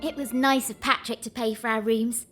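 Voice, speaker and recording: A woman's voice: fairly high pitch, steady tone, normal speed, loud music behind the voice, close to an everyday voice. A woman voicing a bossy, teasing young girl adventurer who covers homesickness with bravado in a video game. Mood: chatty, snide.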